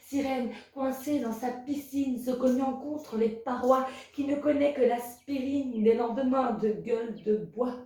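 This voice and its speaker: A woman from Belgium reciting spoken-word poetry as a slam poet, in a measured, rhythmic, incantatory way. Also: sits high, dark tone. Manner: measured, rhythmic, incantatory delivery with repeated refrains. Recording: very echoey, distant from the mic.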